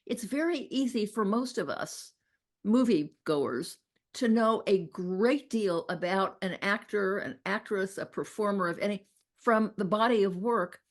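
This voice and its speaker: A woman hosts a conversational interview. She is warm-sounding in her questions but halting, pausing often and restarting as she searches for the right words. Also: mid-range voice. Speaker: interview host.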